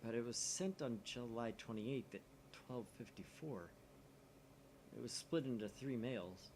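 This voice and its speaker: A man's voice: mid-range voice, unhurried, rough recording. A man narrating a visual novel aloud expressively, dropping in casual asides. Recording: steady faint background noise.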